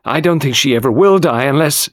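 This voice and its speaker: A man's voice: very animated, a little slow, dry recording, clean studio sound, heavily acted. Man voicing an anxious, tormented young woman. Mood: distraught. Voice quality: deep, hoarse, hushed.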